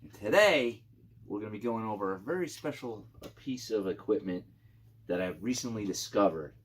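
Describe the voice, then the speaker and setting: A middle-aged man hosting a casual video channel, talking informally and haltingly through an overview of gear. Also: on the low side, animated, a little hiss or hum. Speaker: YouTube channel host.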